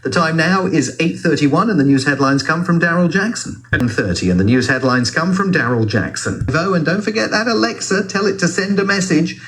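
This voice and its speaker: A man's voice: on the high side, animated, fairly quick, few pauses, a bit bright. A man presenting a live radio phone-in, handing over to the news. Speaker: radio talk show presenter. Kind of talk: live phone-in link, reading contact numbers and time checks.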